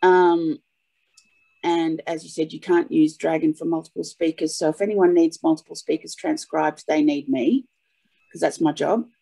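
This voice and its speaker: A woman's voice: deep voice, steady tone, normal speed, creaky, mellow, slightly rough. A woman taking part in a webinar, casually describing her own experience. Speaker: webinar participant, audio typist.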